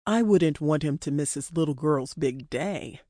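A woman's voice: low-pitched, animated, measured pace, warm tone, dry recording, studio quality. A woman voicing a commanding, mocking male kidnapper with curt delivery in an audiobook story.

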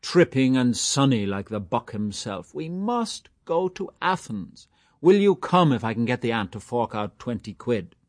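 A man's voice: medium pitch, very animated, no room sound, clean studio sound, pitched above the performer's own voice. A man voicing a mocking, theatrical young man who drags out his words in mock-grand style. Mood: boisterous. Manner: exaggerated, drawn-out mock-grand delivery.

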